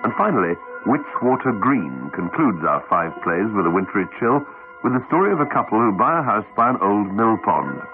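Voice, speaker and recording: A British man making scripted radio continuity announcements in a measured, formal way. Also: on the low side, very animated. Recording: dull 1980s radio broadcast, dry, faint music.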